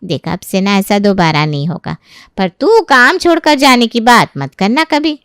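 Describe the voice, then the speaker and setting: A woman's voice: medium pitch, very animated, a little slow, fairly bright tone, smooth, no echo, clean studio sound. A woman voicing a pragmatic, persuasive mother-in-law in a dramatised moral story. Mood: soothing. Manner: soothing, persuasive.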